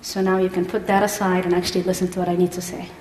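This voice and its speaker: A woman's voice: on the low side, level tone, racing, background noise. A woman from Egypt with an Egyptian accent, preaching a sermon, reading scripture and asking the congregation questions. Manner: engaging, asks the congregation questions. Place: church hall. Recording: echoey hall with long reverb.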